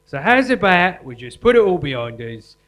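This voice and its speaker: Casting: male actor for dim petty-crook sidekick, highish voice with big swings, stammering, easily indignant, stage comedy. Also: sing-song, normal speed, fairly bright tone. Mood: wheedling. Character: dim, excitable accomplice, petty crook and artist. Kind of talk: live comedy sketch acting.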